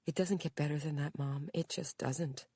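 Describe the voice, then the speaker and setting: A woman's voice: low voice, steady tone, moderate pace, warm tone, slightly rough, no room sound. A woman reading aloud, voicing an adult daughter who tearfully reassures her mother. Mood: earnest. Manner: emotional, reassuring.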